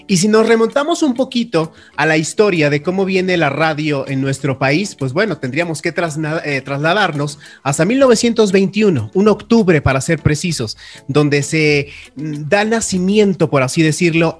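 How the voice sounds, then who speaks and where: A man with a Mexican accent hosting a radio show, presenting guests in a flowing, warm way. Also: on the high side. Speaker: radio host.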